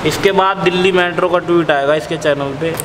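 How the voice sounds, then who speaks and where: Young man, high voice, mock-serious joker in casual chat, reverberant gym with background noise. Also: fairly even intonation, fairly quick. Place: indoor gym, sitting on the floor. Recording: echoey hall, clear background noise.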